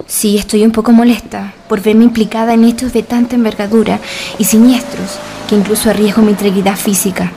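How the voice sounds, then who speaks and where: A woman's voice: mid-range voice, level tone, fairly quick, dark tone, a little hiss or hum, lightly acted. A woman playing a wary, secretive informant in a radio drama, cautious and grave, with formal wording. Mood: grave, troubled. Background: background music.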